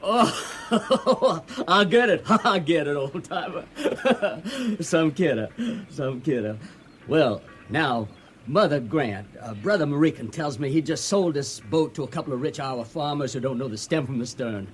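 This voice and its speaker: Glib holy-roller young sailor, hearty n gushy, old radio show, bit of noise. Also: male voice, a little high, lively intonation, a little slow. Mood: hearty, boisterous. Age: young adult. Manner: effusive, hearty, repeats himself. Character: glib, over-pious young sailor, slyly ingratiating. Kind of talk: American old-time radio drama acting.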